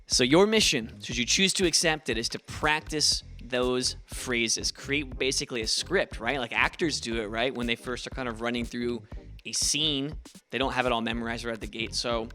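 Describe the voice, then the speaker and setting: A man's voice: medium pitch, normal speed, bright, forceful tone. A young man from Ohio with an Ohio accent, teaching a casual, encouraging video lesson in a chatty, upbeat way. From Ohio, USA. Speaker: language teacher, channel host.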